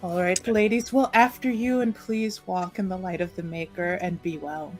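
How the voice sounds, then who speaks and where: A woman acts out a gracious noblewoman in a fantasy tabletop game. Her voice sits a little low, and she speaks with formal, practiced politeness over background music. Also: fairly even intonation, moderate pace, lightly acted. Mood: gracious, courteous. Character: polite, gracious noblewoman host with a customer-service courtesy.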